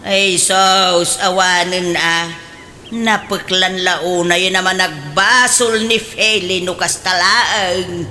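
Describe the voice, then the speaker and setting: A woman's voice: on the low side, steady tone, takes it slowly, bright, forceful tone, soft background music. A woman playing a pious, nagging aunt in an Ilocano radio comedy drama, speaking emphatically with an Ilocano accent. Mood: reproachful, nagging.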